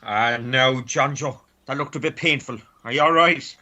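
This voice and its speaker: A bemused, easygoing Irish man from a comic radio play, played by an actor. His voice is bright and forward, on a slightly muffled recording, as he looks on curiously. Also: mid-range voice, moderate pace, natural voice. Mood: concerned. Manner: bemused running commentary.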